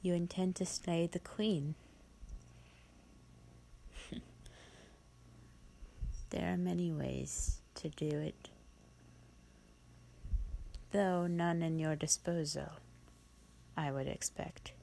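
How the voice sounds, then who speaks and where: Languid, creaky, low-voiced vampire woman, acted by a woman. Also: steady tone, extremely slow, long pauses, dark tone, a little hiss or hum, full character voice, poor audio. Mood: disdainful. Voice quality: breathy, half-whispered, creaky. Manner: condescending, languid, theatrical drawl. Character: bored, haughty millennia-old pureblood female vampire. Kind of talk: roleplay audio monologue, close and breathy.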